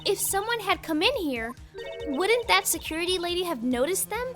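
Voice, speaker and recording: A woman's voice: very high-pitched, very animated, normal speed. A woman voicing a perky, naive, eager teenage girl assistant in a game fan dub, blunt and childlike, with music behind. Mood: earnest. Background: background music.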